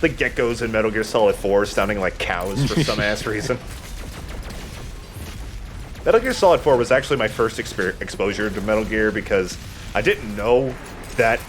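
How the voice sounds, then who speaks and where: Low-voiced man, joking gaming commentary. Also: fairly bright tone, slight background noise. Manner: joking, slangy. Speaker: let's play co-host. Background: video game ambience.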